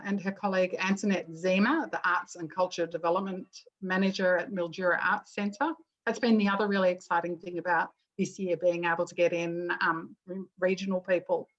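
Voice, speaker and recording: Host: woman, casual and chatty, mid pitch, muffled call audio. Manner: chatty, with many ums and restarts. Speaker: host of an online education session. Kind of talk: casual spoken introduction. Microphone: video call.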